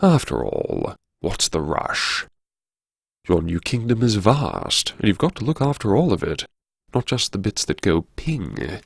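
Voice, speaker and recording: A man performs a wry university grandee narrating an audio memoir. The voice is gravelly and swoops theatrically, lingering sardonically over words. It is recorded dry and close, with a slow, deliberate delivery. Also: lowish voice, no echo, exaggerated voice. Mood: grandly self-mocking. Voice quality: gravelly, husky. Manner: arch, sardonic, savouring words with dramatic pauses. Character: wry, theatrical, self-mocking university vice-chancellor narrating his haunted memoirs. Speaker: narrator of a serialised audio story.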